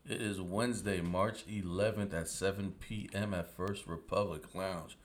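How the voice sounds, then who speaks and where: A man's voice: deep voice, fairly even intonation, normal speed. A tired man co-hosting a podcast in casual chat, laid-back with short, dry replies. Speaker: podcast co-host.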